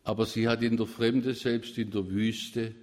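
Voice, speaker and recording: A man's voice: lowish voice, little pitch movement, unhurried, a little mellow. A man with a Swabian accent preaching a sermon, emphatic and at times rising to fervent proclamation. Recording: long reverb of a church.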